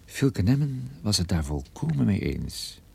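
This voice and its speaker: An actor playing a wry, sardonic male private detective, narrating his own case in a measured radio drama style. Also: lowish voice, very animated, creaky, dark tone, husky, full character voice. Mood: wry, reflective.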